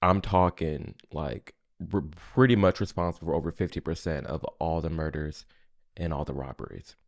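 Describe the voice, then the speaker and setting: A man's voice: rumbling low, moderate pace. A man with an American accent giving casual reaction commentary in an informal, emphatic way. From United States. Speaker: reaction video host, commentator.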